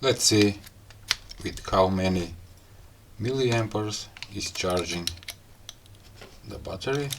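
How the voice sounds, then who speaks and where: A man with a non-native English accent giving a casual hands-on gadget demo in short, halting phrases. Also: fairly low pitch, background noise. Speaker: gadget review host. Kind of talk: casual hands-on unboxing and product demo talk.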